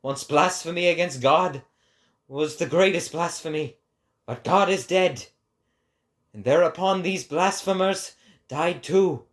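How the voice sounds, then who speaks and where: Impassioned male prophet acted by a man, declamatory stage-style philosophical reading, fairly high voice, unhurried and slow. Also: a bit bright. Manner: declamatory, oratorical.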